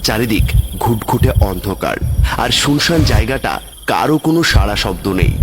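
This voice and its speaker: Animated male ghost-story narrator. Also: lowish voice, long reverb tail, background noise. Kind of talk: dramatic scripted ghost-story narration for a cartoon.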